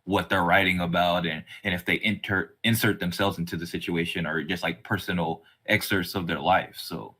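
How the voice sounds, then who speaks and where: A man with a fairly low, bright and forward voice hosts a podcast interview. His delivery is casual and rambling, as he works his way around each point. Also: fairly even intonation, normal speed, hardly any pauses, husky.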